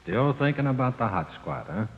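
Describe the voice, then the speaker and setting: An actor playing the sinister, coaxing ghost of a convict, slangy and matter-of-fact, in 1940s radio drama with a reverb effect. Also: male voice, mid-range voice, sing-song, normal speed. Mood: coolly taunting. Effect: long ghostly reverb. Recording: very muffled old radio, long reverb.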